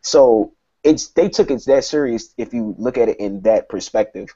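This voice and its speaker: A man with a dark, husky voice discusses tech casually as a podcast panelist. He explains things emphatically, working through examples. The recording is dull and lo-fi. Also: mid-range voice, moderate pace.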